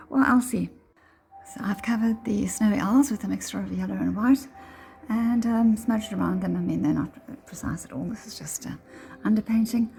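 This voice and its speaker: A woman with a slightly croaky voice giving a casual step-by-step painting tutorial, thinking aloud in a halting way. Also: medium pitch. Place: indoors, home painting room.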